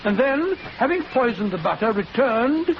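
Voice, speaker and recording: High-voiced, blustery English gentleman sidekick. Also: very animated, normal speed, a crowd in the background, full character voice. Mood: shocked, musing. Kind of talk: 1940s radio acting. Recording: muffled, noisy 1940s radio recording.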